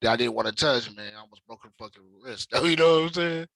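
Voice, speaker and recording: A man in his forties chatting casually on a reaction show, telling stories in a loose, profane, animated way. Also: medium pitch, quick pace, creaky, dry recording. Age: 40s. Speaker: co-host of a reaction channel.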